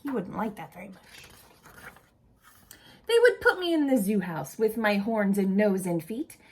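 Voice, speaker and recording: A woman reading a picture book aloud expressively to young children, pausing to ask them simple questions. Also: mid-range voice, very animated, husky.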